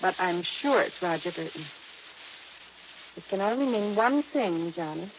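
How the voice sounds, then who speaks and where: An actress playing a young, anxious yet coquettish niece of a sea captain in an old-time radio drama, with an earnest, confiding delivery. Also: female voice, lowish voice, expressive, normal speed. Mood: anxious, urgent. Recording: muffled, hissy old radio recording.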